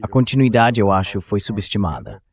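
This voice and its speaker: Man, Brazilian accent, lowish pitch, dubbed interview host, analytical discussion. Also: big pitch swings, fairly quick, a little mellow.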